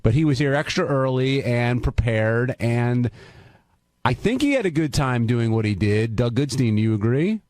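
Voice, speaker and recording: A man's voice: medium pitch, lively intonation, moderate pace, darkish tone, dry recording. Male radio host, dry close sound. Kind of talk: conversational show recap.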